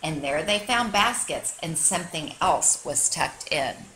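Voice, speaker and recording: Bright, animated woman reading a children's story. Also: low-pitched, a little slow, slight background noise, rough recording. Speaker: teacher reading aloud. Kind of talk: expressive children's picture book read-aloud.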